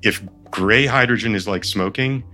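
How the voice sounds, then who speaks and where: A man's voice: fairly low pitch, normal speed, pushed and bright, soft background music. A man in his fifties from the Boston area, whose first language is Armenian, talking thoughtfully as an interview guest with frequent fillers and restarts. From Boston area, United States. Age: 50s. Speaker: guest, company founder. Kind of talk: relaxed interview conversation.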